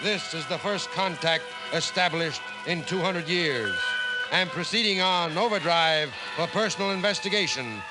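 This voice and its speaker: An actor in a 1950s radio drama playing a colonial inspector dictating a report in a formal, measured, official way. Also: male voice, fairly high pitch, natural voice, low-quality recording. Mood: officious, grave. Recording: old radio recording under loud music.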